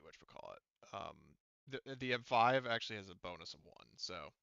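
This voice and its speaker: A man narrating a tabletop game as game master in a casual, halting, rambling manner. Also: lowish voice, lively intonation, vocal fry, bright, forceful tone, clean tone, no room sound, lo-fi sound. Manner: halting, rambling, filler-heavy explanations.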